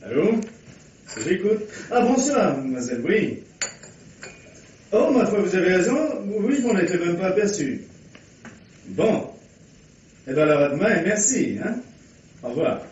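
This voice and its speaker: An actor playing an urbane, conciliatory husband in a 1950s French radio drama, courteous and bantering. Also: male voice, sits high, very animated, measured pace, long pauses, slight background noise. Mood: polite. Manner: courteous, bantering, frequent soft interjections. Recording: dull, muffled old radio recording.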